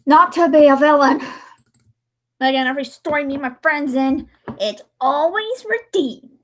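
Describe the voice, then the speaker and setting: A woman's voice: high-pitched, normal speed, a bit bright. A woman chatting casually as host of a livestream, in a talkative, informal way full of exclamations.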